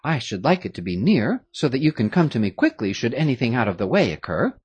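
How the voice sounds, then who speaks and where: Polite young gentleman read by a narrator, measured, practical, lively pitch, slightly husky, storybook. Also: no echo, highs cut off. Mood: earnest.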